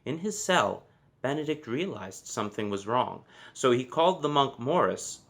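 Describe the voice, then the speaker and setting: A man's voice: mid-range voice, big pitch swings, normal speed, creaky, fairly bright tone. Man reading a children's story aloud. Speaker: storybook reader.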